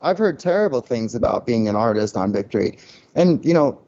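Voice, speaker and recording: A man's voice: medium pitch, expressive, fairly quick, dark tone, muffled recording. A man of Cuban heritage, a record label founder, talking candidly in a casual podcast interview, reflective and full of restarts. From Cuban family, grew up in a loud-talking city.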